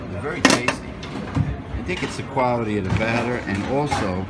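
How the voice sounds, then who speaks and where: A man's voice: medium pitch, brisk, very noisy. A man giving a wry, chatty running commentary while filming a buffet on a handheld phone. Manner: chatty, wry, talks to himself while picking food. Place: indoor buffet restaurant. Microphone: handheld phone camera.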